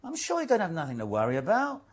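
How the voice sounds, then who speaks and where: Determined detective, lively intonation. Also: male voice, high-pitched, fast talker, full character voice. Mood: cool, needling. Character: determined male police detective, firm and persuasive. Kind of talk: naturalistic radio drama acting.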